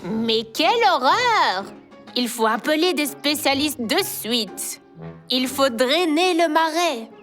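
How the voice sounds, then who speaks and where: A woman's voice: high voice, expressive, slow, fairly bright tone, heavily acted. A woman playing a fretful old village grandmother in a cartoon, fussy and dramatic. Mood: horrified. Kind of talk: cartoon dub acting. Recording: music under the voice.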